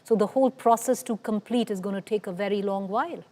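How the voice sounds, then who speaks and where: A woman's voice: medium pitch, quick pace, dark tone, slight background noise. A woman with an Indian accent presenting live breaking news as a television anchor.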